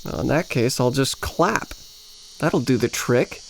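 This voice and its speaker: Man acting a slow, creaky lovelorn American vacationer. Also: mid-range voice, expressive, a little hiss or hum. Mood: playful. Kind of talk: radio play acting.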